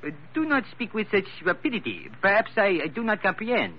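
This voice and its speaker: An actor playing a puzzled police desk officer in an old radio drama, with a French accent and halting, polite, stiff English. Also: male voice, sits high, huge pitch swings. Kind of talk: 1940s-50s radio adventure acting. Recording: muffled old radio recording, faint noise.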